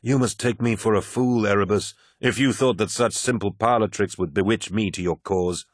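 A man's voice: fairly low pitch, fairly even intonation, moderate pace, no room sound, studio quality, natural voice. A man voicing a proud, commanding warlord in an audiobook, defiant and suspicious, with an imperious, emphatic delivery. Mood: scornful.

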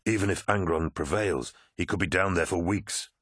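A man's voice: sits low, normal speed, fairly bright tone, slightly rough, no room sound, clean studio sound. A man voicing a pragmatic, questioning warrior lieutenant in an audiobook, reasoning cautiously. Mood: wary, reasoning. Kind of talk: dramatic audiobook character acting.

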